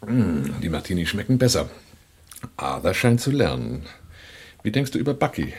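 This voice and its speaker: A shrewd, sardonic questioner with a fairly low voice, in a radio play. He probes coolly and drily, mocking as he goes. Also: sing-song, moderate pace, breathy edge, exaggerated voice. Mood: wry, commanding. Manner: dry, probing, mocking. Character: shrewd, sardonic man coolly interrogating a client. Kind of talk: German radio drama acting.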